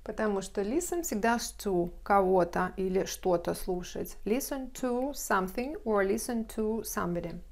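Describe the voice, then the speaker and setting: A woman in her thirties teaching English grammar at a whiteboard, explaining carefully and saying example phrases slowly, recorded on a lapel mic in a bright room. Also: mid-range voice, animated, creaky.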